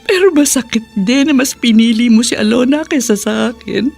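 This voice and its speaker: A woman acting a middle-aged, loving but firm mother in a Filipino radio drama, with a breathy, husky voice and an emotional, pleading manner. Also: on the high side, a little fast, a little mellow. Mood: tearful, pained. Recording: background music under the voice.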